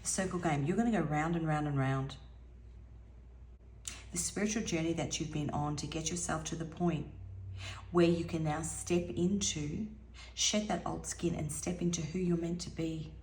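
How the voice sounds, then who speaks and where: A woman giving an intuitive tarot reading in a musing, soft-spoken way, her voice a little hoarse and now and then dropping to a near whisper. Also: deep voice, normal speed, roomy sound. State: slightly hoarse, losing her voice. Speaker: tarot reader.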